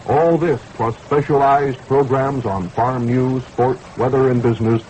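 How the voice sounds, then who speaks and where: Slight noise sits on this vintage radio recording of an American man. He reads a scripted station promo as a formal 1960s announcer, deliberate and mid-pitched. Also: darkish tone, poor audio.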